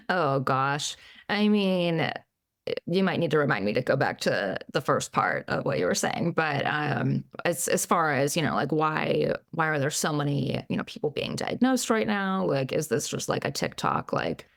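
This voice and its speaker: Chatty woman psychologist with gravelly fry. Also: low voice, very animated, unhurried, no echo, studio quality. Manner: chatty, frequent "like" and "you know" fillers. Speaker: psychologist, podcast guest. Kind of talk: casual interview conversation.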